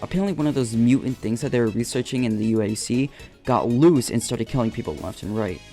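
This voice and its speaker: A man's voice: mid-range voice, animated, fast, dark tone. A man delivering casual, scripted comedic commentary for a video essay, with a flippant, slangy, jokey manner. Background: upbeat video game music.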